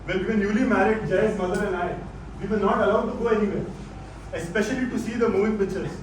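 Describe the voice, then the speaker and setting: A man's voice: sits high, fairly even intonation, fast talker, lightly acted. A man with an Indian accent playing a stern, controlling old father-in-law in a stage play, authoritative and disapproving. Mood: accusing. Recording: very echoey, distant stage recording with noise.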